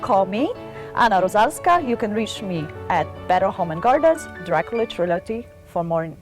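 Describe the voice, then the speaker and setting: A woman with a Polish accent, Polish by birth, reads real estate listings in a measured, promotional tone. Her voice sits at a mid pitch. A faint bed of music plays beneath her. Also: big pitch swings. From Poland. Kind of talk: reading home listings on a radio show.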